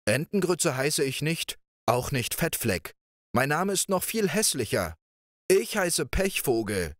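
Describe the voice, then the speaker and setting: A man's voice: on the high side, sing-song, measured pace, fairly bright tone, no echo, studio quality, pitched above the performer's own voice. A man voicing a gloomy, timid young man who speaks shyly and mournfully. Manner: shy, mournful, self-pitying.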